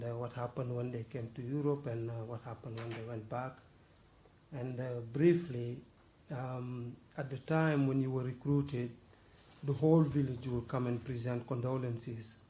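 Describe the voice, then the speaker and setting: A man with a Senegalese accent shares a personal account from the floor. He is measured and halting, with a mid-pitched, mellow voice. A little noise sits behind him. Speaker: audience member. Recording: faint background noise.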